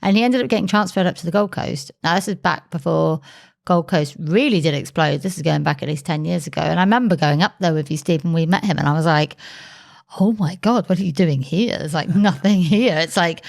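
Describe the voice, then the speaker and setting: A woman's voice: on the low side, fairly quick, vocal fry, darkish tone. A woman co-hosting a podcast, chatting casually and laughing easily, with plenty of fillers. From England. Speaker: podcast co-host.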